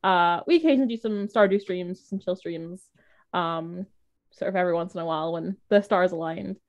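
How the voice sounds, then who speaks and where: A woman's voice: lowish voice, brisk, highs cut off. A woman player on a game stream introducing herself in a shy, self-deprecating casual chat.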